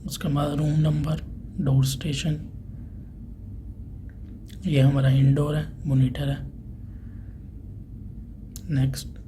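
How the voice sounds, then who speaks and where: A man's voice: on the high side, little pitch movement, slow, long pauses. A man giving a plain, unscripted, step-by-step hands-on tech tutorial as an installer. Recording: clearly noisy room ambience.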